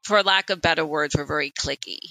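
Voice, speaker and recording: A woman in her late forties co-hosting a podcast, telling personal stories in an animated, conversational way. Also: deep voice, a little fast, gravelly, no room sound, low-quality recording. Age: late 40s. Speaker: podcast co-host. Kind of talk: casual personal podcast chat.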